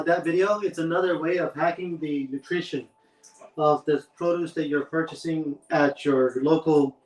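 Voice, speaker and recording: A man with a notably high voice explains a home test step by step in a relaxed way during a live stream. The room is echoey, and he sounds some distance away from the mic. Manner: laid-back, explains step by step. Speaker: co-host demonstrating a test. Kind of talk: casual explanatory demo.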